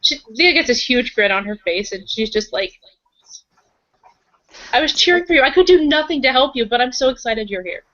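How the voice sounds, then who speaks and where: A woman with a fairly high voice chats casually through a tabletop roleplaying session. She moves easily between narrating and speaking in character. The sound is a little dull. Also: lively intonation, moderate pace, fairly bright tone. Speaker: roleplaying game player.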